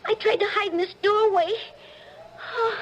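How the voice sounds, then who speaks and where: An actress playing a nervous, chatty young working-class American waitress with breathless, rambling speech in a radio drama. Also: female voice, very high-pitched, steady tone, moderate pace, fairly bright tone, slight background noise, rough recording. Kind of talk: old-time radio drama acting.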